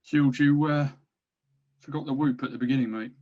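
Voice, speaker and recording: A man's voice: medium pitch, steady tone, normal speed, warm tone, highs cut off, lightly acted. A man playing a blunt, exasperated orc army captain with a matey, bossy manner in a fantasy comedy read.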